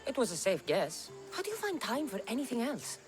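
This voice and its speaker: A woman's voice: on the high side, wildly swooping pitch, a little fast, soft background music. An actress playing a tough, skeptical young female mercenary in a video game, with a dry, teasing, matter-of-fact delivery. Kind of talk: video game cutscene acting.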